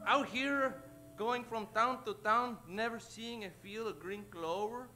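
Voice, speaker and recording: A man voicing a tender-hearted, timid little boy in a halting, earnest manner for a comedic radio play. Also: sits high, pushed and bright, full character voice. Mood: pitying. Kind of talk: comedic old-time radio acting.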